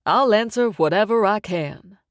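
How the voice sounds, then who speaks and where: A woman's voice: mid-range voice, expressive, unhurried, no room sound, studio quality. A woman voicing a smug, menacing male mob boss who talks with amused, sing-song menace.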